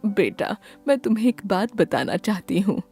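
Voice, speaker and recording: A woman voicing a gentle, doting grandmother who coaxes a child affectionately in a children's cartoon story. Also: mid-range voice, very animated, moderate pace, vocal fry, soft background music.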